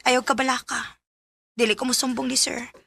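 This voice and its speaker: A sweet young woman at college in a Filipino radio drama. Her voice sits high, with a bright, clear edge. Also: little pitch movement, normal speed, exaggerated voice. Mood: weak, protesting. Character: Tia, sweet young female college student.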